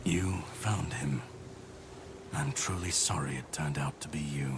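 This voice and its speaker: An actor playing a grim, world-weary warrior on a mission in a video game, speaking in a grave, measured way. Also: male voice, extremely low pitch, expressive, full character voice. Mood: somber, menacing. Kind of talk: dramatic video game dialogue acting. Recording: clear background noise and ambience.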